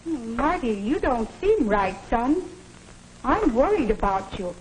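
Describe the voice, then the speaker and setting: A woman's voice: fairly high pitch, very animated, a little slow, background noise. A woman playing a worried, fussing middle-aged mother in a 1950s educational film, anxious and plaintive, on a vintage soundtrack. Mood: concerned. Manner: anxious, plaintive, scolding gently.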